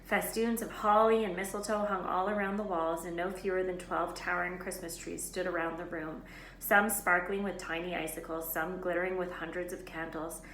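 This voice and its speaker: Bright-voiced woman reading a storybook aloud. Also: on the low side, little pitch movement, normal speed. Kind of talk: expressive scripted children's book narration. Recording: echoey room with faint noise.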